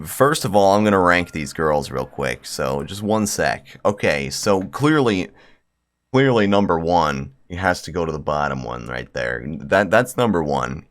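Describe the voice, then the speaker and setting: Man, deep voice, swinging intonation, casual game commentary, blunt and slangy, music behind. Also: fairly bright tone. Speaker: streamer.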